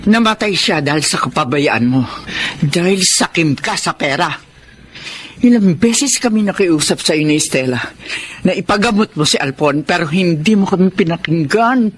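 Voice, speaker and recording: An actress playing an indignant, reproachful relative in Filipino radio melodrama. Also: female voice, on the low side, animated, normal speed, slight background noise, lightly acted. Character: indignant relative scolding a heartless mother.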